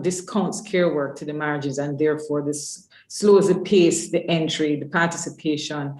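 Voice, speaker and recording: A woman giving a semi-formal introduction as a webinar host, measured and halting, with pauses mid-phrase. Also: low voice. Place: indoors on an online webinar call. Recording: roomy, echoey webinar audio.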